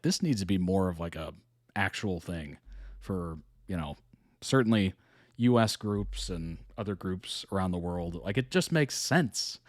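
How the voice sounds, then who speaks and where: A man's voice: fairly low pitch, no room sound, clean studio sound. A man hosting a reaction video, chatting casually and thinking aloud with frequent laughs. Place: hot indoor room.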